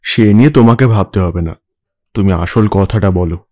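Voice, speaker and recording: Actor as a composed man with lowish voice. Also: moderate pace, warm tone, close to an everyday voice. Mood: reassuring. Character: principled, calm, protective man defending outcasts. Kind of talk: Bengali audio story acting.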